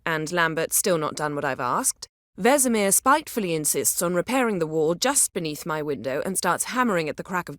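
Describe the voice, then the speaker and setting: A woman playing a haughty, sharp-tongued sorceress in a video game, speaking formally and imperiously with cutting poise. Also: fairly low pitch, no room sound, clean studio sound. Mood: irritated, curt. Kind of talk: video game character acting.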